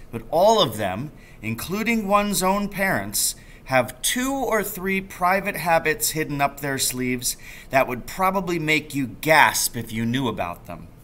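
Male, middle-aged, forceful bright voice, expressive storybook read-aloud, teacher at a desk. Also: mid-range voice. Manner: expressive reading, calls out the dialogue. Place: small office or classroom indoors.

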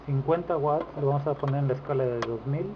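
A man's voice: medium pitch, fast talker. A man giving an informal hands-on demonstration as a repair technician, recorded on a handheld camera indoors. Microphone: handheld camera microphone. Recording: dull lo-fi camera audio, clear background noise.

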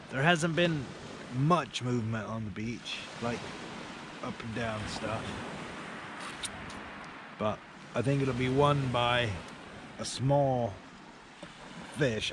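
A man in his forties chatting casually to camera as an angling vlogger, with a laid-back manner. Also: medium pitch. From United Kingdom. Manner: laid-back, often tags remarks with "man". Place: outdoors on a beach at night, in a small tent. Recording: noisy outdoor camera audio.

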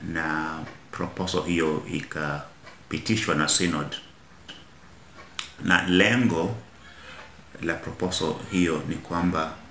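A middle-aged Kenyan man, an Anglican bishop, answering interview questions in a measured way in a small office, often repeating words as he searches for them. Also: deep voice, fairly bright tone, slight background noise. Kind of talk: interview answers mixing Swahili and English. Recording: echoey office room.

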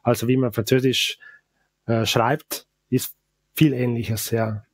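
A man, a brewer and chef from South Tyrol whose first language is Ladin, speaking German with an accent and often pausing to search for words. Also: medium pitch, level tone, no room sound. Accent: Ladin-Italian accent in German. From South Tyrol, Italy. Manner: halting, searching for words, many hesitations. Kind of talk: casual interview.

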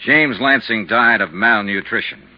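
A man's voice: on the low side, unhurried. An American man acting a tough, persistent insurance investigator in a 1950s radio detective drama, clipped and probing. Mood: firm. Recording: muffled lo-fi 1950s radio recording.

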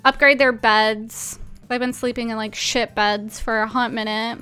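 A young woman with a high, bright voice chats informally on a live game stream. Her speech is casual and peppered with "like," and faint music plays behind her. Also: steady tone, a little slow, clean tone. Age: 20s. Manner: chatty, informal, frequent "like". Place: small indoor room at home.

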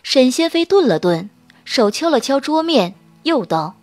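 A woman narrating a romance audiobook with smooth, expressive storytelling. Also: sits high, measured pace, faint music behind. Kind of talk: scripted narration of a romance novel.

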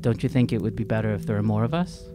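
A young man improvising dialogue as a player in a tabletop game, questioning in a hesitant, halting way. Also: fairly low pitch. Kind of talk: improvised in-character dialogue in everyday voice. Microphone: close podcast microphone on stand. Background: instrumental music.

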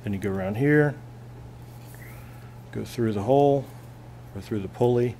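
A man's voice: mid-range voice, unhurried, mellow, slight background noise. A male physics teacher at a classroom lab bench, plainly talking through a hands-on demonstration and thinking aloud as he goes.

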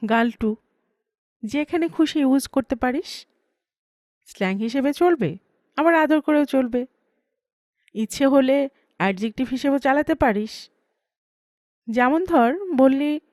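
A woman's voice: sits high, darkish tone, no room sound, clean studio sound. Woman voicing a cheeky, brash college girl. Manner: cheeky, argumentative, self-assured. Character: brash, quirky young female engineering student.

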